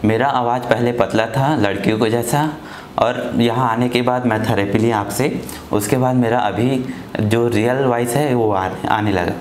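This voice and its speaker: A man in his twenties with an Indian accent answers interview questions politely and a little haltingly. His pitch is average. The recording has noticeable room echo and slight background noise. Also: a little mellow. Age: 20s. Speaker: patient giving a testimonial. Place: small clinic office, indoors.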